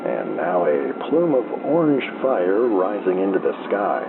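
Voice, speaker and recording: Casting: male actor as deadpan small-town baseball radio caller, low and unhurried, lively melodic swings, heard through crackly narrow-band AM. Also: creaky, exaggerated voice. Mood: deadpan calm. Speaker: baseball radio play-by-play announcer. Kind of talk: slow old-time baseball radio play-by-play. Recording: noisy, thin telephone-like AM radio sound.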